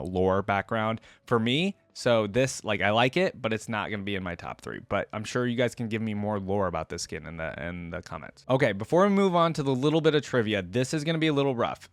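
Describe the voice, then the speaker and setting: A man's voice: lowish voice, no echo. A man giving casual reaction commentary as a video host, thinking aloud in informal, slangy language.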